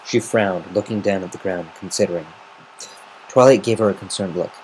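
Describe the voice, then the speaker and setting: A man with a lowish voice narrates a story in a measured, expressive way. It is an amateur semi-dramatic reading, recorded at home with some faint noise behind it. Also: low-quality recording. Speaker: amateur reader of fan fiction.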